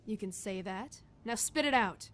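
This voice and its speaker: A woman's voice: mid-range voice, lively intonation, normal speed, lightly acted. A woman playing a brash young female soldier in a radio drama, blunt, sassy and impatient. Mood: tough, demanding. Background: loud music.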